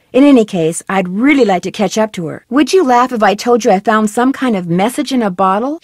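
A woman's voice: on the high side, no room sound, clean studio sound. A woman voicing a curious young female detective in a video game, asking questions in a polite, earnest way. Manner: inquisitive, bright and earnest. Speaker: voice actor for a game heroine.

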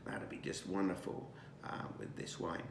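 A man in his sixties, a winemaker, presenting a wine tasting in a relaxed, savoring way with many fillers and restarts, recorded indoors at a dining table by a camera across the table. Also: moderate pace, echoey room, noisy background.

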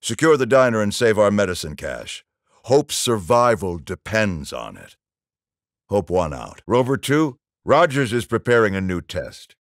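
Commanding American general, middle-aged, stern, clipped military delivery, animated intonation, game mission briefings, dry studio sound. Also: male voice, lowish voice, a little slow, no room sound. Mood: grave, commanding.

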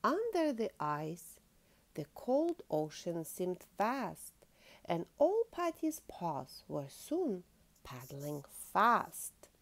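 Female storytime host: very slow, highly expressive children's read-aloud with some vocal fry. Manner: asks listeners questions.

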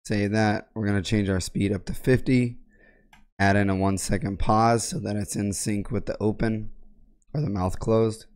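A young man with a raspy, sick voice giving step-by-step software instructions in a plain, methodical way, clearing his throat now and then, recorded at home on a boom mic. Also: steady tone, moderate pace. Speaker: tech tutorial creator. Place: home gaming room, indoors. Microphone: boom mic on arm with headset.

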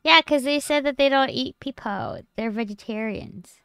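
A woman's voice: high voice, moderate pace, vocal fry, a bit bright, clear, resonant tone, no echo, studio quality. A woman playing a tabletop game, chatting casually with the others. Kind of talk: casual chat.

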